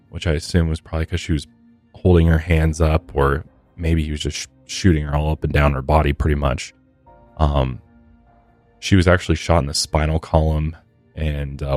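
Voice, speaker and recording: A man with an extremely deep voice hosts a true crime podcast. He speaks conversationally and matter-of-factly, measured but with casual asides, over music in the background. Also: a little mellow. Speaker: podcast host.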